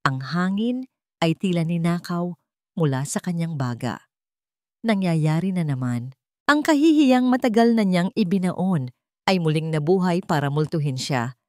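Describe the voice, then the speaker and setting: A woman's voice: on the low side, expressive, unhurried, a little mellow, no echo, studio quality. A Filipino woman narrating a dramatic story as a scripted storyteller.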